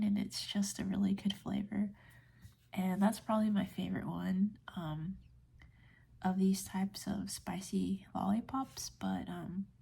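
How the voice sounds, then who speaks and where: Woman, late twenties, American accent. Hushed, whispery ASMR delivery with creaky fry and a relaxed tone, gentle and informal. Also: medium pitch. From United States. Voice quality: soft, whispery, breathy. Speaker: ASMR video creator. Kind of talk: soft-spoken ASMR rambling.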